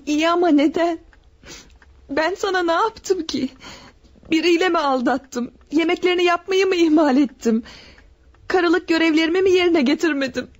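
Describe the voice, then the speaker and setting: An actress in a radio drama playing a devoted, stubborn wife who cries and refuses to divorce. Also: female voice, very high-pitched, normal speed. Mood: pleading. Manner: tearful, pleading and stubborn.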